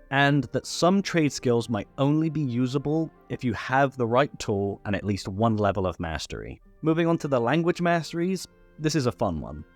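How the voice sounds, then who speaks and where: Man narrating a tutorial, lively intonation. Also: medium pitch. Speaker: tutorial host. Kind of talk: scripted explainer narration. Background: background music.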